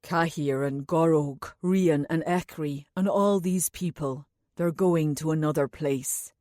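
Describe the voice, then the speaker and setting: A woman reading an audiobook voices a grave, commanding man who speaks gently and slowly to a child. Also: no echo, clean studio sound. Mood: gentle coaxing. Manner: deep, slow, authoritative yet gentle.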